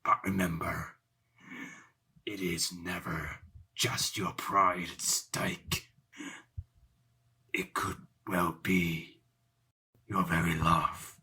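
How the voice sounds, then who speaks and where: A deep, rasping, menacing pirate captain. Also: male voice, flat delivery, sharp tone, full character voice. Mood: ominous. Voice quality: very raspy, breathy. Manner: slow, ominous warning. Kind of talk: audio play acting.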